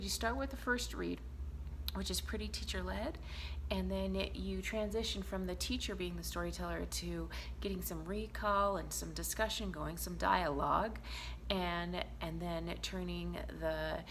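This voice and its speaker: A woman's voice: fairly low pitch, creaky, slight background noise. A woman giving informal, demonstrative instruction to teachers, as a trainer in early literacy.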